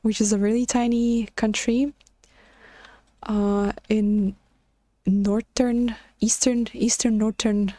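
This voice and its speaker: A woman's voice: mid-range voice, level tone, slow, mellow, dry recording. A woman from Latvia with a Latvian accent, chatting casually on a live stream while she builds in a game, hesitant and full of filler sounds and restarts.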